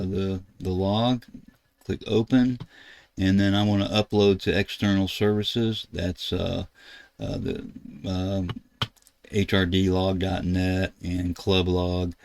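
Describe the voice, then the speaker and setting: An older man, giving a casual, halting screen tutorial as a ham radio video host. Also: deep voice, darkish tone, no room sound. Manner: halting, rambling explanation. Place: indoors at a computer desk.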